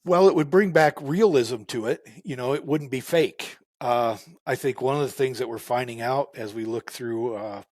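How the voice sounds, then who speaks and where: A man who farms, talking in a relaxed way as a podcast guest, with many fillers and restarts. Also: medium pitch, no room sound. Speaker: farmer guest. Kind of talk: casual interview talk.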